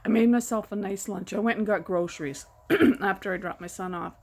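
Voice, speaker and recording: A woman in her sixties chatting casually from behind a camera at home, rambling and self-deprecating, her voice going hoarse. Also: mid-range voice, brisk. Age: 60s. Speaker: vlogger. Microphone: camera mic, speaking from behind the camera.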